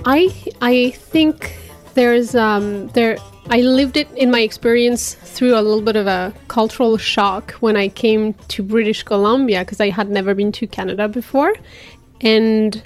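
A woman's voice: a little high, a little slow, soft background music. Thoughtful woman. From France. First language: French. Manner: thoughtful, searching for words. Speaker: interviewee, teacher. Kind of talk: reflective personal interview.

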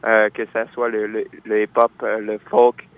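A man's voice: lowish voice, completely flat delivery, normal speed, smooth, dry recording. A young man, born in France, answering interview questions as a singer-songwriter, with many fillers and restarts. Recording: dull lo-fi recording.

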